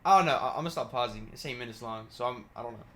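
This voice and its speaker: A man's voice: mid-range voice, very fast, a bit bright, slight background noise. A man giving casual reaction commentary as a video host, talking in loose slang with some swearing.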